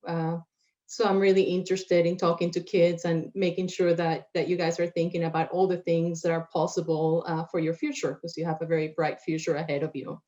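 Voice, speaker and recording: Woman, 40s, from Puerto Rico; low-pitched, friendly panelist; lo-fi call audio. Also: dark tone. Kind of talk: introducing herself to students.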